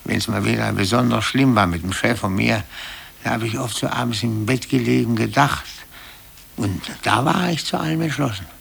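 An actor in a radio play voicing an embittered, brooding middle-aged office clerk who speaks haltingly and repeats himself. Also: male voice, medium pitch, gravelly, fairly bright tone, husky, heavily acted. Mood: weary, brooding. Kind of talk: 1950s German radio play acting.

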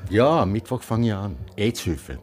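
Guarded man, lowish voice. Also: very animated, moderate pace, a little mellow, soft background music. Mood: friendly. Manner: guarded, blunt. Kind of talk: radio drama acting.

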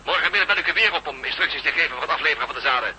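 Sharp, mocking villain sheriff. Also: male voice, fairly high pitch, animated, racing, slight background noise, poor audio. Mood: threatening, commanding. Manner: mockingly polite, threatening. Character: smug, mocking male villain, a sheriff holding a hostage. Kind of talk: radio drama acting.

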